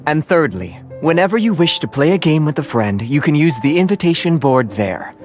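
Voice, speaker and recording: A woman's voice: low voice, very animated, normal speed, music in the background. A woman voicing a composed, courteous tavern host in a video game, explaining things in a formal, helpful way.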